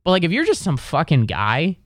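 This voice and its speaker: A young man hosting a casual comedy podcast, swearing freely and breaking into animated rants that jump up in pitch. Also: fairly quick, no echo, clean studio sound. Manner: animated, profane, jumps up in pitch when ranting. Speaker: stand-up comedian, podcast host.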